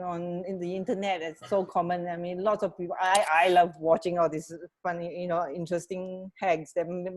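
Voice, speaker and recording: Middle aged lady radio cohost, bit low voice, loose chat over video call, repeats her words. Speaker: radio show co-host. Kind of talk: casual chat. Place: home living room with stairs, on a video call. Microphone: earphone mic on a video call.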